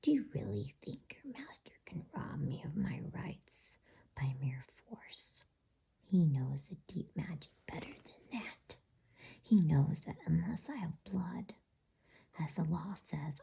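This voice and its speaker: A woman's voice: deep voice, lively intonation, a little slow, vocal fry, dark tone, breathy edge, exaggerated voice. A woman voicing a cruel, imperious witch queen from a storybook in a menacing whisper. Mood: scornful, fierce. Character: haughty, cruel witch queen claiming her prey.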